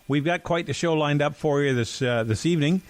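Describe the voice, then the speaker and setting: A man's voice: on the high side, a little fast. Guy cohosting a podcast, middle-aged, rambly, keeps restarting sentences, bit of a cough. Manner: rambling, self-correcting storyteller. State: occasional cough. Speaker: podcast co-host. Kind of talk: casual chat.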